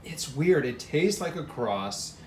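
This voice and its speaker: Higher-pitched chatty man in echoey space. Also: expressive, slow pace, a little mellow. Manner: chatty, enthusiastic. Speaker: host. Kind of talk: casual chat on camera. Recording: roomy echo, background noise.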